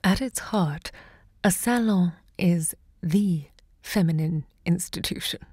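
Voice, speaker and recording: Grand salon hostess, audio drama, slow deliberate declamation, lowish female voice, dry close recording. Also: mellow, slightly rough, no room sound. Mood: persuasive, confiding. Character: Natalie Barney, grand, impassioned literary salon hostess looking back. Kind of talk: theatrical audio drama monologue.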